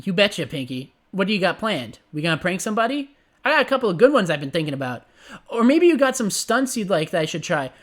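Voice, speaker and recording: A woman voicing a brash, sporty young female pegasus pony, talking quickly. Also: mid-range voice, big pitch swings. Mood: eager.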